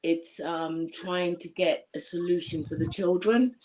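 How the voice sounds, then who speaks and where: Gruff-voiced woman in frank team chat. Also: lowish voice, measured pace, a little mellow, rough recording. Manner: frank, restarts sentences often. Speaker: family support worker.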